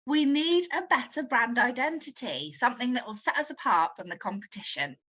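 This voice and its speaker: A woman's voice: fairly high pitch, animated, a little fast, sharp tone, husky, full character voice. A woman playing a keen young marketing intern in an amateur comic story. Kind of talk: amateur comic audio drama.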